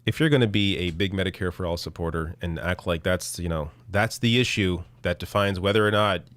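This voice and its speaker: A man in his thirties, hoarse from losing his voice, hosting a casual live political stream with blunt, profane commentary and frequent restarts. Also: low voice, fairly quick, a bit bright, dry recording. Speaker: political show host reading viewer chat.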